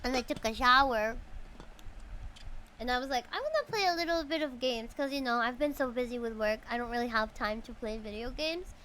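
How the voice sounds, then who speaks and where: High-voiced woman livestreaming. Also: expressive, a little fast, a bit bright, a little hiss or hum. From Philippines. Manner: chatty, reacts with exclamations. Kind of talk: casual gaming livestream chat, reading game dialogue aloud.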